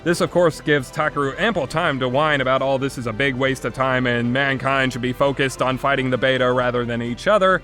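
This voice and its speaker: A man's voice: mid-range voice, steady tone, fairly quick, few pauses, pushed and bright, faint music behind. A man giving an opinionated video game review in an exasperated, sarcastic and emphatic way, with casual phrasing.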